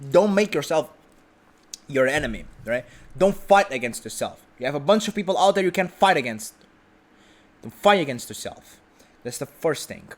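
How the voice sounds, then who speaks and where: A man's voice: a little high, expressive, normal speed, pauses often. A young man giving an emphatic motivational talk, repeating his points and often asking "right?". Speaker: motivational speaker. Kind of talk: motivational monologue.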